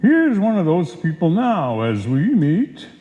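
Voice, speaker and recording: Man as animated old-time radio announcer, echoey hall. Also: sits high, unhurried, exaggerated voice. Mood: genial, presentational. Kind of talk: 1940s radio announcing, scripted. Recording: muffled live stage recording, echoey hall.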